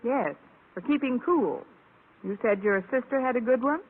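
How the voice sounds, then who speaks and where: An American actress playing a wry, deadpan schoolteacher in an old radio sitcom, trading dry wisecracks. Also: female voice, fairly high pitch, normal speed, natural voice. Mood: dry, patient. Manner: deadpan, sarcastic. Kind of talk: 1940s-50s American radio sitcom acting. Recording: tinny, heavily noisy old radio recording.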